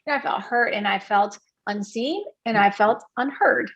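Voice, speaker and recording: A woman's voice: on the high side, normal speed, a bit bright, lo-fi sound. A woman from Puerto Rico, a guest in an interview, talking earnestly with many restarts and fillers. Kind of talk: candid interview conversation.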